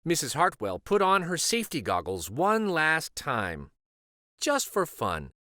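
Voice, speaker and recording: A man reading a children's picture book aloud as narrator, with expressive, dramatic emphasis. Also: on the high side, a little slow, dry recording, studio quality. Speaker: children's storybook reader and host.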